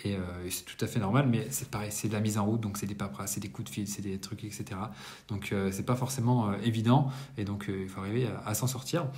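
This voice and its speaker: A man's voice: fairly low pitch, fairly even intonation, fast talker, a little mellow. Hesitant man chatting to camera. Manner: conversational, many hesitations and self-corrections. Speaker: business owner giving a monthly debrief. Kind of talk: casual monthly business vlog to camera. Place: indoors, bright apartment room.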